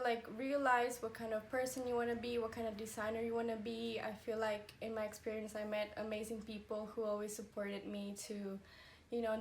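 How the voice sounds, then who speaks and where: A woman's voice: medium pitch, a little hiss or hum. Chatty giggly young Colombian-born woman. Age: 20s. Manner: chatty, giggly, many fillers like "like" and "you know". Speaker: fashion design graduate. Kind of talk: casual interview answers.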